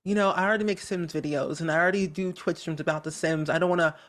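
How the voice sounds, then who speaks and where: An upbeat, talkative content creator chatting casually as a podcast guest, with a naturally high voice that has a medium tone. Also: fairly even intonation, fast talker, fairly bright tone. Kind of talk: casual interview chat.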